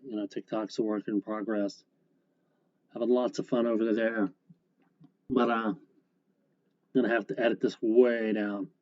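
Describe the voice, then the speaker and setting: A man's voice: fairly low pitch, flat delivery, unhurried, long pauses, a little mellow. A man hosting a casual solo podcast, rambling and correcting himself as he talks. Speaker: comic strip creator, podcast host. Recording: dull lo-fi sound, faint guitar.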